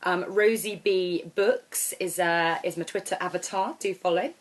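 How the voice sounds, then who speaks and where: Woman author with a lowish voice, reading. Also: fairly even intonation, a little slow. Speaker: author reading own novel. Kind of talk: expressive storybook narration.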